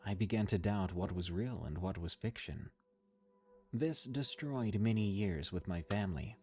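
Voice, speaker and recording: A man reading a first-person horror story in a measured, somber scripted narration. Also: on the low side, a little mellow, husky, faint music behind.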